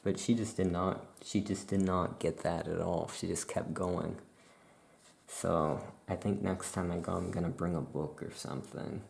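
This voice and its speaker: A soft-spoken ASMR performer talking as an easygoing, chatty witch shopkeeper, rambling and trailing off in an unhurried way. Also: steady tone. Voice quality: soft-spoken, low creaky voice. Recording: roomy echo, faint background noise.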